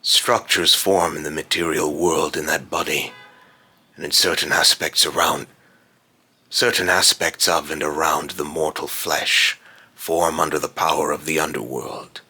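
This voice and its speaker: A man voicing a brooding otherworldly male spirit with a raspy voice, speaking solemnly and deliberately in a voice line recording. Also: rumbling low, steady tone, bright, forceful tone, heavily acted. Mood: solemn, ominous.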